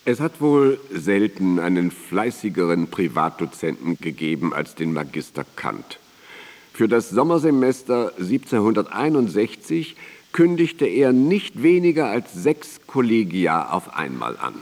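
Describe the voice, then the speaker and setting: An admiring friend reminiscing in a radio drama. His voice is fairly low and swings expressively, with deliberate literary phrasing. Long reverb hangs around it. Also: sing-song, darkish tone, exaggerated voice. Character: admiring male contemporary recalling his scholarly friend. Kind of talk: radio drama memoir recitation. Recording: reverberant studio, digitized cassette radio play.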